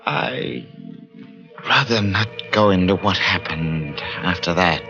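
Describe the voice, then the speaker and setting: An actor playing an obsessed, half-mad pianist in a 1940s radio horror drama, with an intense, brooding, theatrical delivery. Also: male voice, deep voice, slow, highs cut off, over music. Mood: brooding confession. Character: obsessed, half-mad pianist who lost his hand. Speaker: lead actor and first-person narrator.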